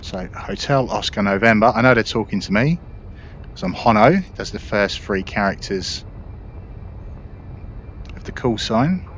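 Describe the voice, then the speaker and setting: A man's voice: on the low side, lively intonation, measured pace, long pauses, lo-fi sound, slight background noise. A man hosting a gameplay video, explaining things informally in casual commentary. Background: spaceship game sounds.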